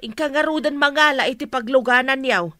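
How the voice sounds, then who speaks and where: A nosy, insistent middle-aged mother with an Ilocano accent. Her voice is bright and forceful, medium in pitch and recorded dry, in radio drama style. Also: fairly quick, no room sound. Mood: motherly.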